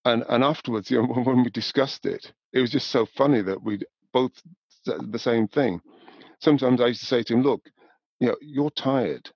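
A man, talking thoughtfully and in a measured way as an interview guest. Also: medium pitch, fairly even intonation, no echo, muffled recording. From north of England, born in Newcastle. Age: 70s. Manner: thoughtful, measured, with repeated "you know". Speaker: podcast guest, music industry professional. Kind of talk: reflective interview conversation.

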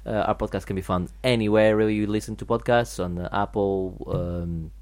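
A man's voice: fairly low pitch, steady tone, fairly quick, a little mellow. A man hosting a casual podcast, speaking with a strong foreign accent and rambling with many restarts and fillers. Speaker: podcast host.

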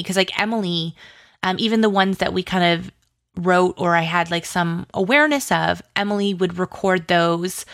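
A woman's voice: fairly low pitch, creaky, fairly bright tone. A woman being interviewed about creative work, speaking informally and effusively in tumbling asides. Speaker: interview guest, audio drama writer and producer. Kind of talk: casual interview chat.

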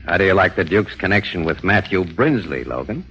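An actor playing a shrewd, easygoing crime photographer in a 1940s radio drama. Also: male voice, low voice, expressive, fairly quick, a bit bright, husky, full character voice. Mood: musing. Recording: dull old radio recording with music.

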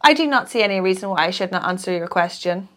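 Soothing, measured male family lawyer, read by a woman. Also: mid-range voice, animated, a bit bright, lightly acted.

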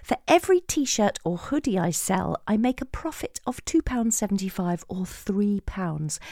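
A middle-aged woman reading a scripted podcast introduction in a measured way, hushed at phrase endings. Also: medium pitch, gravelly, dry recording, clean studio sound. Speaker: podcast host and playwright.

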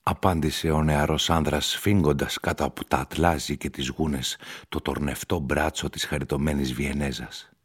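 A man's voice: very deep voice, fairly even intonation, slightly rough. A man reading scripted literary narration as the storyteller of a radio play. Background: music.

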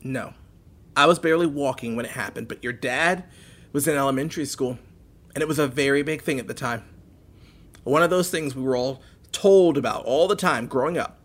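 An actress playing a worried, protective guardian in an audio drama, speaking in a stern and earnest way. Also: female voice, rumbling low, a little fast. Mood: grave, subdued. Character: protective, worried adult guardian of a teenage girl. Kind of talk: naturalistic audio drama acting.

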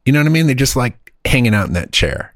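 A man's voice: mid-range voice, fast talker, dry recording, clean studio sound. A man chatting casually as a podcast co-host, rambling and acting out other people's voices. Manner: animated, rambling, acts out voices. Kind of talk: casual chat.

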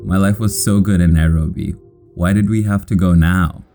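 A man from Kenya with an American accent, calmly narrating his own story in measured sentences. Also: sits low, over music. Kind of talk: scripted narration.

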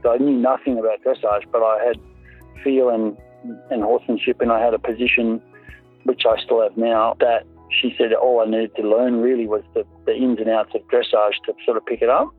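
A man's voice: medium pitch, level tone, brisk. A man in his forties with an Australian accent, a guest in an interview, telling his story in an easygoing, rambling way with many ums. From North Coast of New South Wales, Australia. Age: 40s. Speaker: guest, dressage rider and coach. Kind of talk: relaxed interview chat. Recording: tinny phone-like line with faint music.

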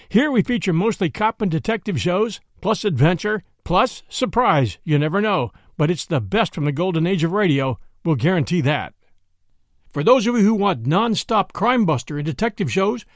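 A man's voice: high voice, no room sound, studio quality. A man hosting an old-time radio podcast, reading a genial, scripted intro. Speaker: podcast host.

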